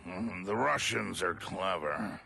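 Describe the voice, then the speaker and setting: Game villain: sardonic, middle-aged moneyed schemer, low, deliberate, swelling and dipping grandly. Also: male voice, lively intonation, creaky, sharp tone, full character voice. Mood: smug, guarded. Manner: measured, sardonic, condescending. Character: sly, powerful male financial backer, smugly superior. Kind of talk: video game villain acting.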